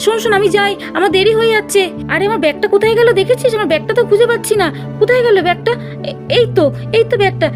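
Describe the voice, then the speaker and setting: A woman's voice: very high voice, rapid-fire, over music. A woman voicing a busy mother in a doll cartoon, coaxing and giving instructions. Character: busy mother, fussing and soothing.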